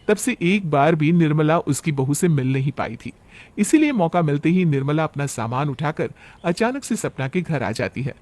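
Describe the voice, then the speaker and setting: A man's voice: fairly high pitch, a little mellow. A man narrating a scripted family story for a cartoon.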